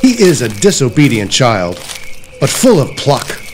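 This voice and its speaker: A man playing a lively, wry Victorian father. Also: medium pitch, measured pace, slight background noise. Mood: breezy, reassuring. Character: easygoing Victorian gentleman, wry, proud father. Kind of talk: period audio drama acting.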